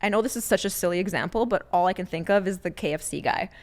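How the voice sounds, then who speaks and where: Animated woman chatting on a podcast, lower-pitched. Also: quick pace. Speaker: podcast co-host. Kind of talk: casual unscripted podcast chat.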